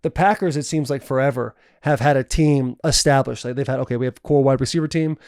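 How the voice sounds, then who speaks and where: A man co-hosting a podcast, talking football in a relaxed, easygoing way with lots of casual filler. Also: medium pitch, fast, dry recording, studio quality. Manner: relaxed, talks in long runs with fillers like "dude". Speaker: podcast co-host, former football player. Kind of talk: casual sports chat.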